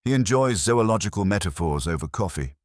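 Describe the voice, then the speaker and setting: A man playing a wry, wisecracking private detective in an audio mystery, with deadpan, clipped delivery. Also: low voice, brisk, no room sound, clean studio sound, voice pushed up. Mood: sardonic.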